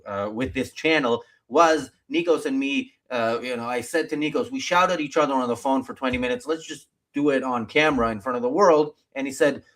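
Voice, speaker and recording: A man's voice: mid-range voice, a little fast, few pauses, fairly bright tone. A man hosting a casual live talk show, speaking in a bantering, teasing way.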